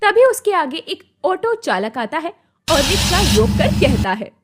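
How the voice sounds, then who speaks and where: A woman's voice: high voice, very animated, measured pace. A woman narrating a scripted moral story as its storyteller.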